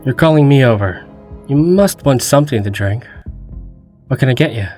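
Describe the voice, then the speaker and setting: Casting: man as devoted young hero, medium pitch, earnest fantasy drama delivery with prominent music. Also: animated, moderate pace, darkish tone, lightly acted. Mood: playful, careful. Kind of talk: fan dub drama acting. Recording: music behind the voice.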